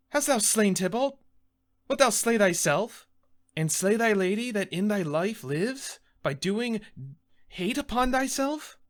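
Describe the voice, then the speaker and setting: A man's voice: sits high, fairly bright tone, exaggerated voice. A man performing a Shakespearean monologue as a stern, exasperated friar, scolding in a declamatory, emphatic way. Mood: shocked rebuke.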